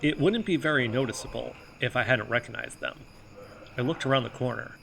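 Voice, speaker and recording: A man's voice: medium pitch, a little fast, vocal fry, a bit bright, rough recording. An actor playing an earnest, brooding amateur investigator who narrates his own conspiracy-minded story in an audio drama. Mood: musing. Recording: faint background noise.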